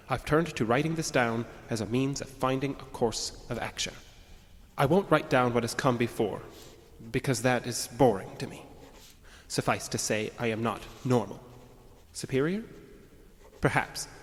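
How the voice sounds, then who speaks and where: An actor playing an agitated, arrogant man with violent urges, narrating his journal in a deliberate, formal way for an audio drama. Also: mid-range voice, close to an everyday voice. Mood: cold, self-important. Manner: pompous, deliberate, brooding, formal phrasing. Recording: long reverb, music underneath.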